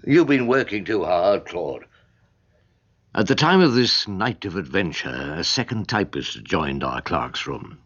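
A man's voice: low-pitched, sing-song, a little slow, a bit bright, husky, muffled recording, heavily acted. An actor playing a portly, wry, world-weary older English barrister who narrates his own story in a droll, ruminative way. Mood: dryly amused. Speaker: narrator and lead character. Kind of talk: radio drama acting with wry storytelling.

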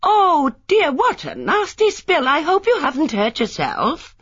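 A woman voicing a plump, middle-aged housewife with a loud, friendly manner. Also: low voice, sing-song, normal speed, husky, no room sound, dull audio, heavily acted. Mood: gushing.

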